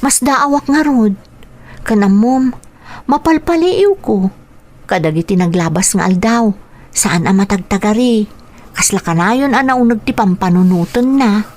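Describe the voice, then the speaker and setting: A woman's voice: medium pitch, lively intonation, a little slow, darkish tone, lightly acted. A woman acting a patient, caring elder sister. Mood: gentle, concerned. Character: patient, caring elder sister minding her younger brother. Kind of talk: Ilocano radio drama acting with narrated inner thoughts.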